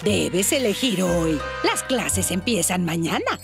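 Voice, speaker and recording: A woman's voice: on the low side, very animated, slow, creaky, full character voice. A woman voicing a stern, insistent fairy-tale school teacher in a Latin American Spanish cartoon dub. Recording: loud music behind the dialogue.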